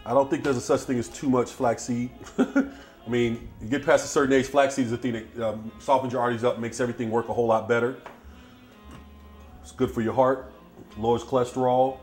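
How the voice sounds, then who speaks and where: A man's voice: mid-range voice, fairly even intonation, brisk, a little mellow, soft background music. A middle-aged man hosting a casual cooking demonstration in a home kitchen, chatting easily while he works. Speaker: cooking show host.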